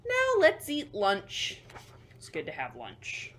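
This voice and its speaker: Slow, expressive woman reading to young children. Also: mid-range voice, slight background noise. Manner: expressive, slow emphatic reading with hushed asides. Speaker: library assistant hosting children's storytime. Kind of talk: picture book read-aloud for young children.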